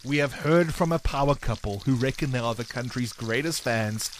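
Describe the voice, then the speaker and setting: A man's voice: mid-range voice, slight background noise. A man hosting a breakfast radio game, chirpy and teasing. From South Africa. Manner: chirpy, teasing, quick patter. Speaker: radio breakfast show host. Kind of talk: upbeat radio game segment.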